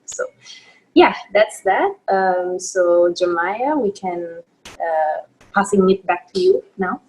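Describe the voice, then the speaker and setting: A woman's voice: fairly low pitch, darkish tone, poor audio. A woman from Indonesia introducing herself on a group video call in an informal, hesitant way. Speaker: performance artist and project manager.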